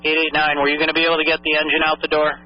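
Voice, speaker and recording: A man's voice: on the high side, monotone, racing, a little hiss or hum. A man working as a fire dispatcher, making procedural radio announcements in clipped phrases and repeating messages back. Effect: two-way radio. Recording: muffled lo-fi dispatch radio audio.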